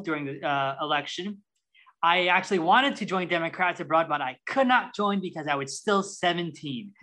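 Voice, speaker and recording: A young man in his twenties from Ohio speaks as a podcast guest. His voice is bright and forward, and he talks eagerly, restarting his sentences often. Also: high-pitched. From Ohio, United States. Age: 20s. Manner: eager, chatty, with frequent restarts. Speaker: guest, youth caucus chair. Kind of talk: casual interview talk.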